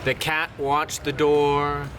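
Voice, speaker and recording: A man's voice: on the high side, monotone, slow, a crowd in the background. A man reading a children's picture book aloud in a theatrical way, drawing words out dramatically. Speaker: storyteller.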